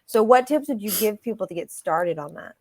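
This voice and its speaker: A woman's voice: medium pitch, lively intonation, a little mellow, no room sound. Eager woman host, dry sound. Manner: eager, quick affirmations. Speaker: interview host. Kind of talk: casual interview.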